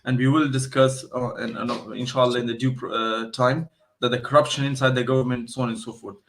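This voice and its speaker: A man's voice: medium pitch, fairly even intonation, fairly quick, fairly bright tone. Afghan guy being interviewed, accented english, explains stuff and tells stories, repeats points for emphasis. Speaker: interview guest, expert on Afghanistan. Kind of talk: conversational interview, explaining and storytelling.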